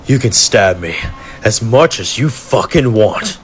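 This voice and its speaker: A man's voice: on the low side, lively intonation, unhurried, a little hiss or hum. A man doing an angry, cursing captive. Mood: defiant. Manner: angry, swearing defiance. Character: defiant captured male fighter, angry and crude.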